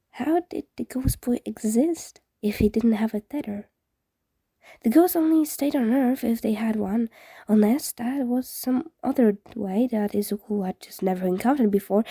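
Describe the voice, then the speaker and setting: A woman's voice: on the high side, mellow, slightly rough, no echo, clean studio sound. A woman reading a story aloud as an amateur audiobook narrator, occasionally stumbling and correcting herself. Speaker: fan fiction reader.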